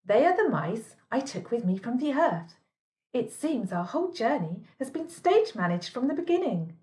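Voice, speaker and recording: A woman's voice: a little high, sing-song, moderate pace, darkish tone. A woman playing a composed, helpful young space traveller in an amateur audio drama.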